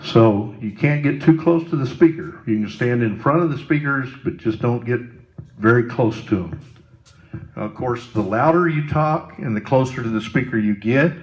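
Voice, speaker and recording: A man giving a slow, plain hands-on demonstration of setting up a sound system indoors, pausing often with fillers. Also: mid-range voice, darkish tone, muffled recording. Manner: unhurried, plain instructions with frequent pauses and fillers. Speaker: ministry volunteer demonstrating sound gear.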